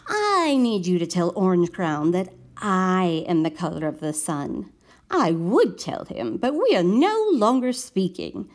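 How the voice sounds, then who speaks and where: A woman's voice: fairly low pitch, wildly swooping pitch, measured pace, pitched below the performer's own voice, heavily acted. A woman voicing a boastful, quarrelsome crayon in a children's storybook, self-important and emphatic. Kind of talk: children's storybook read-aloud.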